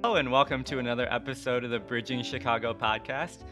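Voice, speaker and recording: A man's voice: medium pitch, quick pace, over music. A man hosting a podcast, asking a coworker questions in an upbeat, friendly chat with some fillers. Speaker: podcast host. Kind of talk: casual interview chat.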